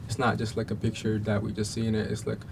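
A teenage young man raised in Egypt, speaking haltingly as a student guest. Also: fairly low pitch, monotone. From Egypt, originally Somalia. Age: teens. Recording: clear background noise.

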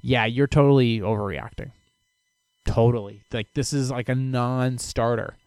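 A man hosting a casual solo podcast, giving blunt, animated advice on listener questions, with frequent restarts and swearing. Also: mid-range voice, normal speed, clear, resonant tone, no room sound.

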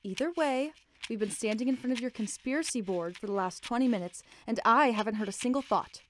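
A woman's voice: on the high side, fairly quick, slight background noise, natural voice. A woman playing a practical, teasing detective's sidekick in an audio drama, plain-spoken and a little exasperated.

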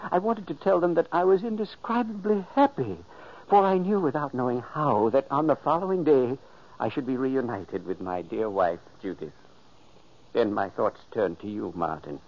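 A man's voice: mid-range voice, natural voice. An actor playing the ghost of a dead older man, narrating earnestly and pleading to warn his brother, in an old radio play. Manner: urgent, pleading. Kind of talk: 1940s radio acting. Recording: dull old radio recording.

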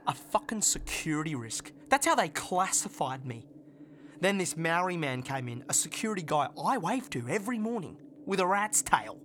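A man's voice: on the high side, big pitch swings, moderate pace, a bit bright. A man acting a volatile, distraught sacked office worker. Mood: bitter, shaken. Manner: volatile, emphatic, often profane, repeats phrases. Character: distraught sacked male office worker, bitter, proud, devoted partner. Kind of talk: dramatic stage-play dialogue. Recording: noticeable background noise.

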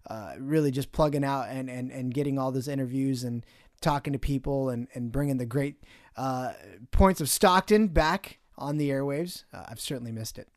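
A man's voice: mid-range voice, moderate pace, no echo, studio quality. A middle-aged man co-hosting a local podcast and interviewing guests, talking casually with plenty of fillers. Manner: relaxed, friendly, filler-heavy. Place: small podcast studio.

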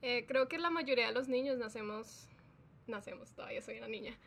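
A woman chatting as a guest scientist, lively and quick to laugh. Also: fairly high pitch, gravelly, a little hiss or hum. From Colombia. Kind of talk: relaxed interview chat.

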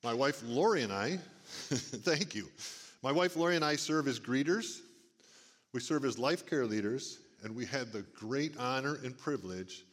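A middle-aged man with a big booming voice, speaking to a church congregation in a folksy preaching manner. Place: church sanctuary. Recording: long reverb of a church hall. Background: church hall.

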